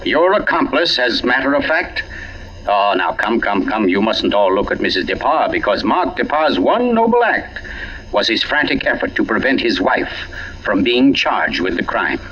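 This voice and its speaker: An actor playing an urbane, pompous gentleman writer turned sleuth in a 1940s radio mystery, teasing and deliberate in his delivery. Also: lowish voice, big pitch swings, breathy edge, full character voice. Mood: accusing, relentless. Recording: dull lo-fi old radio broadcast.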